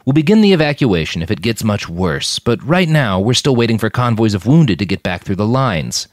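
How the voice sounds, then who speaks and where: A man voicing a weary older army major in an audiobook, measured and courteous, kindly with forced good cheer. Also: fairly low pitch, very animated, dry recording, studio quality, pitched above the performer's own voice. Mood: grave, frank.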